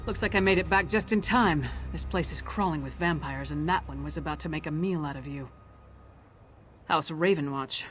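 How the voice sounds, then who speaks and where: A woman's voice: low voice, moderate pace, faint music behind, lightly acted. A woman voicing a big, tough, no-nonsense warrior in a video game. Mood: gruff, businesslike. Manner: blunt, no-nonsense. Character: large, tough, blunt female axe-wielding warrior. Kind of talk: video game character acting.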